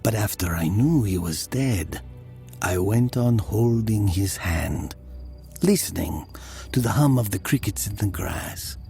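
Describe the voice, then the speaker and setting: An actor playing a haunted, guilt-ridden killer, narrating his confession in a brooding, deliberate, sometimes hushed manner. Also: on the low side, expressive, gravelly. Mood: grim confession. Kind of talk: dramatic first-person literary narration. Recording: music behind the voice. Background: music.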